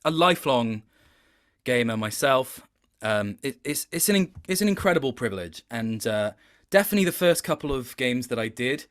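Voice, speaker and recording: A man with a mid-pitched voice talks casually as an interview guest. He is reflective and halting, often restarting his phrases as he finds the words. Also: expressive. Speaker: interview guest, video game voice actor.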